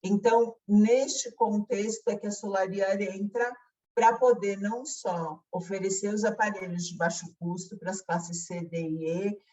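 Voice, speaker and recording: A woman's voice: medium pitch, fairly even intonation, measured pace, warm tone. A Brazilian woman explaining a company's work in a presentation style, recorded at a distance from the microphone.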